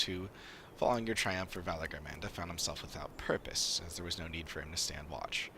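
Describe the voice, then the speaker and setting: A man's voice: low voice, slight background noise. A man giving casual live game commentary as a streamer, rambling and thinking aloud between bits of text he reads out. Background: loud game music.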